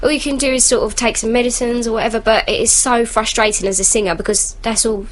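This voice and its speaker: A woman's voice: fairly high pitch, steady tone, fast. A woman, a singer chatting informally and explaining things as a guest on a radio show. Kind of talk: casual radio chat with vocal demonstrations. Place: community radio studio.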